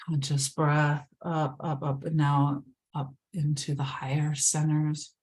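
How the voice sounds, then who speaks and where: A woman leading a guided meditation, speaking slowly and soothingly, sometimes dropping to a whisper. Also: low voice, level tone, darkish tone, low-quality recording. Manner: slow, soothing, drifts into whispers.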